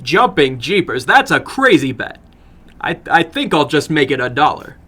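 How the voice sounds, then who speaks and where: A man voicing a boastful American boy of about nineteen in a dramatic story, loud, breezy and slangy. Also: a little high, sing-song, normal speed, heavily acted. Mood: incredulous.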